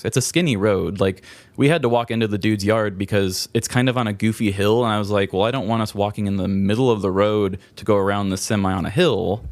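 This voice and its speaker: A man with a fairly low voice tells a casual story as a podcast co-host. The sound is dry and close, and his delivery is informal and a little exasperated. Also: dry recording. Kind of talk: casual anecdotal chat.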